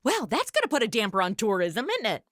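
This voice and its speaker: Actress as irreverent young modern influencer: high, bright, sarcastic, slangy, comic radio-parody commentary. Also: female voice, huge pitch swings, fast, no echo, studio quality. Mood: wisecracking.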